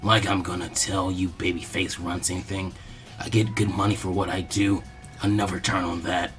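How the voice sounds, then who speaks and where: Gruff hired muscle in a story, played by a man: low, defiant, sneering, mumbled. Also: little pitch movement, moderate pace, fairly bright tone, soft background music. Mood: surly defiance.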